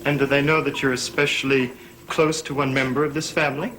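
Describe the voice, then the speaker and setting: An actor playing a scheming young stepson in a gothic soap opera, formal, measured and insinuating, with quiet menace. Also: male voice, medium pitch, little pitch movement, noisy background, close to an everyday voice. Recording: old TV soundtrack with dramatic music.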